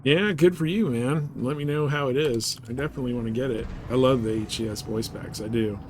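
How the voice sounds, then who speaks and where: A man's voice: mid-range voice, a little fast. Casual male game streamer, faint background noise. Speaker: live streamer playing a space trading game. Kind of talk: casual chat with viewers while gaming.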